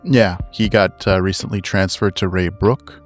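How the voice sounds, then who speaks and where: A man's voice: low voice, fairly even intonation, normal speed, faint music behind. A man playing a tabletop role-playing game, casually narrating what his character goes through.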